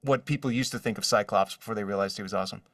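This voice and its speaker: A man's voice: on the low side, rapid-fire, a bit bright, no echo, clean studio sound, far from the mic. A man speaking as a voice actor guest in a casual podcast interview, conversational and given to quoted asides.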